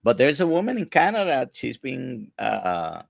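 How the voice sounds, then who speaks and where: A man with a Spanish accent, a native Spanish speaker, hosting a casual live-stream interview as a doctor, talking haltingly with many "uh" fillers. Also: mid-range voice, huge pitch swings, creaky, no echo, studio quality. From grew up speaking Spanish, now near Orlando. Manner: halting, many "uh" fillers, reads viewer questions. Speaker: host, physician.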